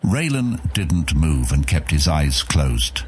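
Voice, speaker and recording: A man narrating a fantasy audiobook in a measured storytelling manner. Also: rumbling low, very animated, a little hiss or hum.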